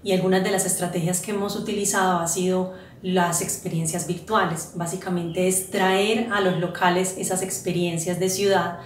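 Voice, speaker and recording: Flat-toned woman, echoey room. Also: fairly low pitch, a little hiss or hum. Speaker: travel agency representative. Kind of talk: promotional presentation. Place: indoor room.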